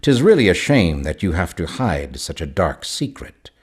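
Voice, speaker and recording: A man's voice: deep voice, sing-song, moderate pace, slightly rough, heavily acted. An actor playing a grand, sinister mastermind in a video game, a man with a formal, theatrical, coldly courteous manner. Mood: mock sympathy. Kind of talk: video game character dialogue.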